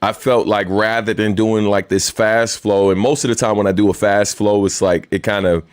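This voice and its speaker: A man with a very deep voice hosting a weekly live stream, chatting casually in a laid-back, rambling way with lots of fillers. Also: fairly even intonation, normal speed, smooth, no room sound, studio quality. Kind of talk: casual livestream chat and Q&A.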